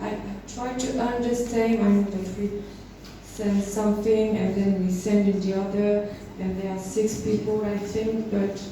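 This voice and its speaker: A woman's voice: medium pitch, little pitch movement, unhurried, dark tone. An eighteen-year-old woman from France speaking English hesitantly, with many fillers. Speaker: foreign guest student. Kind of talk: casual classroom talk in English. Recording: echoey room, mic far off, noise.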